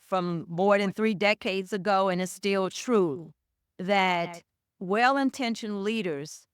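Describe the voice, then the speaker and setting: A middle-aged woman with a Southern US accent, answering interview questions in a measured, emphatic and earnest way. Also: medium pitch, clear, resonant tone, dry recording, studio quality. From Chicago with Southern family roots. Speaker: guest, DEI director.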